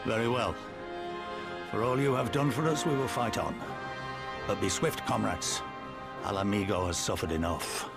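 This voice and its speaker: An actor playing a weary, stern older rebel leader in a game cutscene, speaking gravely and bitterly. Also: male voice, lowish voice, normal speed, long pauses, loud music behind the voice. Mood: resolute. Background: orchestral game score.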